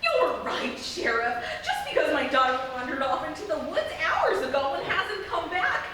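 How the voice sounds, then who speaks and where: A woman's voice: high-pitched, sing-song, moderate pace, pushed and bright, heavily acted. A woman playing a fretful, scatterbrained mother in live comedic radio theatre, exclaiming melodramatically. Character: scatterbrained suburban mother, fretful yet absurdly reassured. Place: theatre stage in front of audience. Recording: echoey live theatre, distant, noisy.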